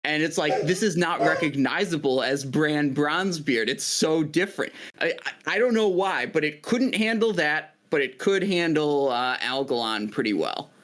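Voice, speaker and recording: A man guesting on a gaming podcast, talking in a steady, informative, fairly monotone way with lots of "uh" and "like". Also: fairly high pitch, normal speed, few pauses. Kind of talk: casual podcast chat.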